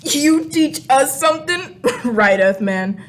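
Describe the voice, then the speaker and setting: Casting: high-voiced woman as sarcastic alien creature, flippant and scornful, radio comedy, faint noise behind. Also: expressive, takes it slowly. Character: brash, sarcastic alien crayon named Bob, impatient and dismissive. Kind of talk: radio play comedy acting.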